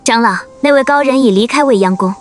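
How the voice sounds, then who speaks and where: An actress playing an earnest young female sect disciple in an animated drama, formal and concerned. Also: on the high side, fast talker, close to an everyday voice. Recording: music behind the voice.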